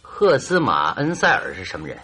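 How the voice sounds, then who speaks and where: A man's voice: lowish voice, wildly swooping pitch, moderate pace, bright, forceful tone, heavily acted. An actor playing a genial, courteous, inquisitive male detective in a radio drama, musing politely. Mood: probing, musing.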